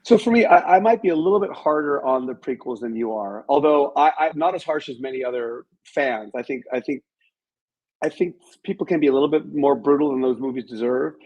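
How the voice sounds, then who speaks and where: A man speaking as an interview guest in a measured, halting way, thinking aloud and qualifying his opinions. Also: mid-range voice, husky, highs cut off. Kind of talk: reflective interview conversation about films.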